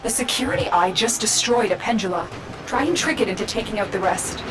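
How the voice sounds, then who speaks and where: A woman's voice: medium pitch, fairly quick, lightly acted, poor audio. A woman playing a composed, commanding mission guide in a sci-fi video game, giving clipped, urgent orders. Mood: focused, urgent. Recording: music behind the voice.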